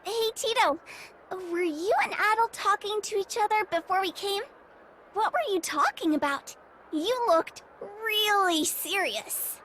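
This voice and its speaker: A woman's voice: very high voice, unhurried, a bit bright, slight background noise. A woman voicing a perky, sassy little sister in a video game, bubbly and teasing. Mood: curious, chirpy. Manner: teasing, bubbly, plain-spoken.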